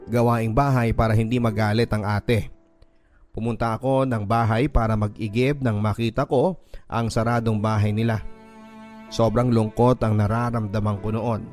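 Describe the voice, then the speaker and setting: A man's voice: mid-range voice, a little mellow. Filipino man narrating over music. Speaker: radio host narrator. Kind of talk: dramatic scripted first-person storytelling narration. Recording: music under the voice. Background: background music.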